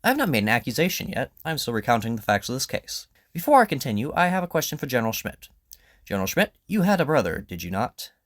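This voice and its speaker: A man playing a brisk, confident detective in an audio drama, with a probing, theatrical, declarative manner. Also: medium pitch, big pitch swings, a bit bright, no echo, studio quality, pitched above the performer's own voice. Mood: confident, expository. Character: brisk, confident male detective, incisive and commanding.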